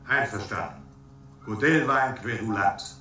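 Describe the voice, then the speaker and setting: An actor playing a curt, scornful military general with clipped, dismissive delivery in a radio drama. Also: male voice, fairly low pitch, measured pace, pushed and bright. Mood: contemptuous. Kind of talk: German science fiction radio drama acting. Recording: very echoey, distant, music behind.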